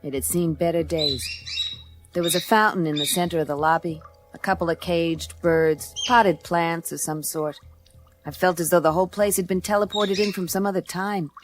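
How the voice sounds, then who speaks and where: An actress plays a hard-boiled female space detective in a radio drama. She is lowish, wry and deadpan, with clipped phrasing over clear background music. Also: moderate pace, natural voice. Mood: wry narration. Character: tough, wry female space detective narrating her case. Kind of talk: noir radio play narration and dialogue.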